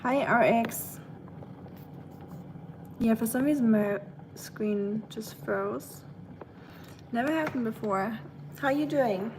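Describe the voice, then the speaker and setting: A young German woman chats with her stream while cooking, her voice a touch higher than most. She rambles in a friendly way with plenty of fillers. The kitchen is echoey, with pots and pans clattering behind her. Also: takes it slowly, long pauses, noisy background. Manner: chatty and friendly, lots of fillers, talks while working. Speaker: vlogger livestreaming. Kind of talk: casual chat reading live comments while cooking.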